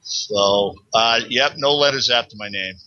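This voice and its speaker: A man with a Boston accent, a guest on an interview show, explaining at length in a halting, rambling way with many restarts. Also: fairly low pitch, pushed and bright. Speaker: guest researcher. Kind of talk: casual interview talk. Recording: dull lo-fi sound.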